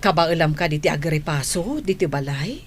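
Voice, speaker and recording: A woman playing a concerned, gently questioning nanny in a radio drama. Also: low-pitched, moderate pace, a little hiss or hum, close to an everyday voice. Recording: music under the voice.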